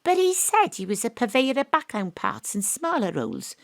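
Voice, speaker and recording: An actress playing a wry, brisk female amateur detective who narrates and questions with dry asides, recorded from a home studio. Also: a little high, sing-song, no room sound. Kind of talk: live remote audio drama acting.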